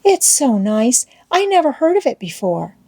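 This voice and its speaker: Woman as a shy little schoolgirl, high and swooping. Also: big pitch swings, normal speed, dark tone, breathy edge, heavily acted. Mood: shyly fond. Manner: timid, hesitant, childlike. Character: shy, sweet, hesitant little schoolgirl, easily hurt. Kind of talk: audiobook character voice.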